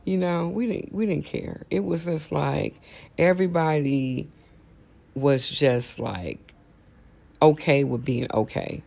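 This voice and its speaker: A man's voice: on the high side, slow, creaky, a little mellow. A man hosting a casual opinion monologue, talking straight to listeners and repeating phrases for emphasis. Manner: conversational, addresses listeners directly. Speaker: host.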